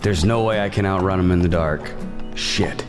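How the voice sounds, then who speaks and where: An actor playing a weary, hardened bounty hunter on the frontier, curt and threatening. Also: male voice, deep voice, normal speed, over music, close to an everyday voice. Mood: gruff. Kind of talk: western horror audio drama acting.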